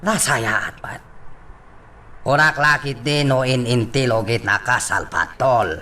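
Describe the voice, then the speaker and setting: A man's voice: mid-range voice, unhurried, bright, forceful tone, distant mic. An actor playing a domineering male boss in an Ilocano radio drama. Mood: stern, displeased. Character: domineering male boss scolding his underlings.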